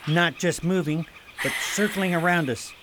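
A woman's voice: lowish voice, a little slow, noisy background, natural voice. A woman playing a brave, proud young prince in a radio play, with earnest, heroic delivery. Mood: urgent. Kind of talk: theatrical radio drama acting.

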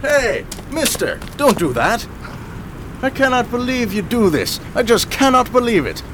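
A man's voice: very high voice, very animated, unhurried, a bit bright, slight background noise, exaggerated voice. An actor playing a cheery, folksy 1920s New York policeman in a radio drama, chatty and courteous. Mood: jovial. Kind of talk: radio drama acting, 1920s period piece.

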